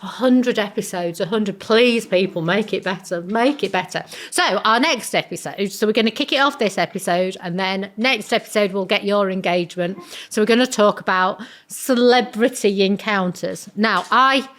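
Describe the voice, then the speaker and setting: A Northern English woman with a tinny, mid-pitched voice. She co-hosts a casual podcast from a cold barn, chatty and quick to poke fun at herself. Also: a bit bright, a little hiss or hum. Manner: self-deprecating, chatty. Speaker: podcast co-host. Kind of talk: casual chat.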